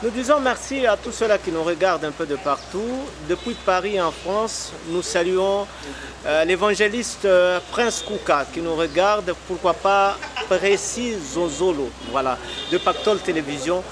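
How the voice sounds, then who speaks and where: High-voiced guy hosting a tv talk show, keen, draws out his ums, noisy audio. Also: unhurried. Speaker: TV show host. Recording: noisy broadcast recording.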